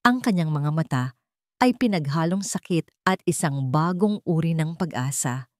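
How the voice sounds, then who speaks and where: Woman, Filipino accent, dramatic storytelling, low-pitched voice, dry recording. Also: lively intonation, normal speed, darkish tone, no room sound. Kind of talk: dramatic scripted story narration.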